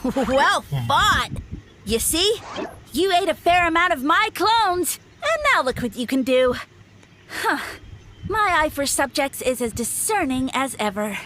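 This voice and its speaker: A woman's voice: high voice, sing-song, unhurried, a bit bright, a little hiss or hum, full character voice. A woman voicing a boastful little mushroom creature in a video game, speaking in a grandiose, haughty, theatrical way. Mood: smug. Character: pompous, boastful little mushroom creature posing as a mighty lord. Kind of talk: scripted video game voice acting. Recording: music behind the voice.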